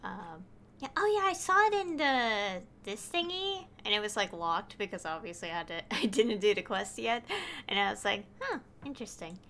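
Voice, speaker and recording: A woman streamer chatting casually through a game, easily delighted and quick to laugh. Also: medium pitch, expressive, pushed and bright, a little hiss or hum. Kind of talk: casual gameplay commentary.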